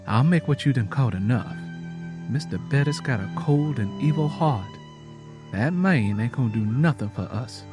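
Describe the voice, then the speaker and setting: A man's voice: medium pitch, darkish tone, natural voice. A man voicing a worried farm mother. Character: worried, pleading poor sharecropper wife and mother. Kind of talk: dramatic audiobook character voice.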